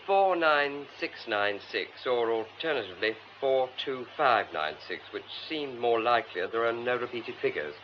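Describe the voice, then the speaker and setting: A man's voice: medium pitch, lively intonation, normal speed, hardly any pauses, lightly acted. Man playing a prim, defensive actor, muffled sound. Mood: precise. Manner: fussy, precise, emphatic. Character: prim, defensive male theatre actor, precise and self-justifying. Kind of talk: radio drama acting. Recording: muffled radio broadcast, faint noise.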